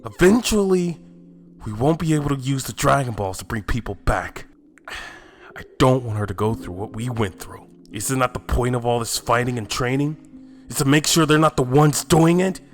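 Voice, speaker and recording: A man's voice: mid-range voice, moderate pace, faint music behind, lightly acted. A man playing a stubborn, protective fighter father in a fan-made anime drama, guarded and reluctant. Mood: weary, pleading.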